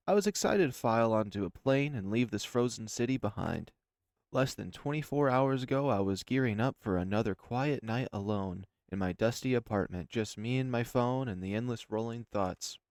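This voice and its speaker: A man reading a first-person story aloud in an expressive narrating style. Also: medium pitch, moderate pace, no echo, studio quality. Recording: music under the voice. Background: background music.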